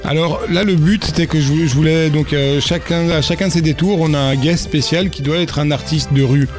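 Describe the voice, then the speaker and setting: A man's voice: fairly high pitch, fairly even intonation, brisk. Male guest, casual and rambling, music bed under voice. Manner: rambling, laughing, many restarts. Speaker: festival organizer guest. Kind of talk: casual radio chat. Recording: radio studio with music underneath.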